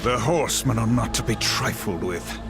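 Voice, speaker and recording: An actor playing a stern, brooding warrior horseman in a video game, speaking in a grave, measured, terse way. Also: mid-range voice, over music, lightly acted. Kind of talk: video game cutscene acting.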